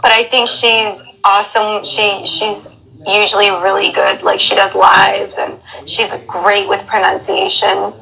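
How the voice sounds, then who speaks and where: American female teacher, guest in a casual chat, explains with examples, bright and crisp. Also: medium pitch, flat delivery, measured pace, poor audio. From United States. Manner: friendly, explanatory, uses examples.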